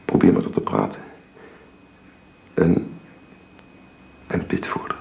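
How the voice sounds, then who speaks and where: An actor playing a guilt-ridden married gentleman in a radio drama, speaking formally and haltingly with repeated words. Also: lo-fi sound, close to an everyday voice. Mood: grave, pleading.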